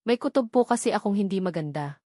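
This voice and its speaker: A woman's voice: medium pitch, animated, fast talker, warm tone, no echo, studio quality, natural voice. Woman voicing an urgent, loyal old man. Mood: urgent, worried. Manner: urgent, deferential. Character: old male trooper, respectful anxious loyal employee.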